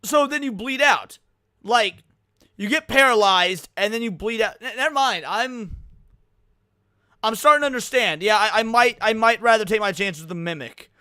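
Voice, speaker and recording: A man's voice: high-pitched, animated, normal speed, sharp tone, clear, resonant tone, no echo, studio quality. A man reacting casually to a video on a livestream, talking in slang and swearing.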